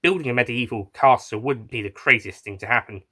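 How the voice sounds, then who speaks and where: A man's voice: mid-range voice, fairly quick, bright, forceful tone, slightly rough. A man reading scripted first-person narration for an audio adventure story.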